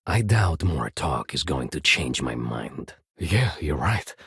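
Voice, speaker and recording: A man voicing a wary, fussy luxury goods merchant in a video game, with husky, polite and formal speech. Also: rumbling low, very animated, slow pace, sharp tone, dry recording, studio quality, exaggerated voice. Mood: reluctant, apologetic. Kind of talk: game character dialogue lines.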